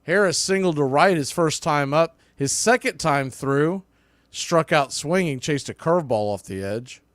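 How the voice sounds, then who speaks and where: A man with a fairly high voice works as a baseball announcer in a dry, close sound. He gives live radio commentary in short, clipped phrases, ready to lift his energy with the play. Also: unhurried, no echo. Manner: clipped play-by-play phrasing, bursts of excitement on hit balls.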